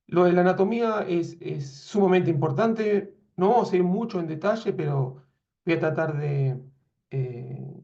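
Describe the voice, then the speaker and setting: A man with an Argentine accent lectures on veterinary imaging over a video call, slightly high-pitched but dark and mellow in tone. He keeps pausing and restarting. The audio is dull and lo-fi. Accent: Argentine Spanish. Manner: hesitant, many fillers and restarts. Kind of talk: webinar lecture.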